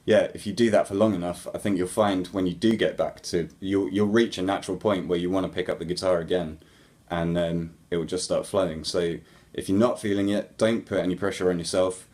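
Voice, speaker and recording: A man with a very low voice talks casually to his viewers, answering their questions about playing music. He rambles in a relaxed way and fills pauses with ums and false starts. A young adult speaking straight to camera. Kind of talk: casual Q&A chat.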